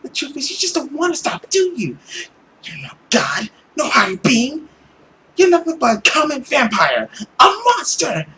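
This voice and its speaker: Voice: high-pitched, huge pitch swings, moderate pace, pushed and bright, pitched above the performer's own voice, heavily acted. A man voicing an earnest, emotional young woman in a visual novel, with melodramatic delivery. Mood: indignant. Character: earnest, indignant young woman confronting a vampire.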